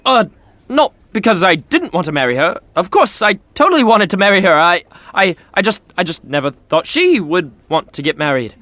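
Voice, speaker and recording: A man's voice: high voice, big pitch swings, normal speed, pushed and bright, dry recording, full character voice. A man playing a stressed, sarcastic young prince in a modern audio drama, dryly delivered and stammering when flustered. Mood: sheepish. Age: young adult.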